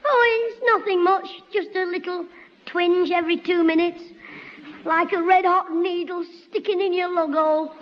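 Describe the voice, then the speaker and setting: A man playing a cheeky, scheming schoolboy, firing off quick wisecracks in a 1960s radio sitcom. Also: very high-pitched, steady tone. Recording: dull hissy old radio recording with reverb.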